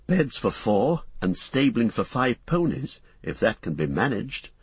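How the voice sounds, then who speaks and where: A man's voice: medium pitch, very animated, measured pace, husky, no room sound, exaggerated voice. A man voicing a polite young hobbit traveller in an audiobook, speaking courteously and with measure. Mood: polite, reserved. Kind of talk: audiobook character voice.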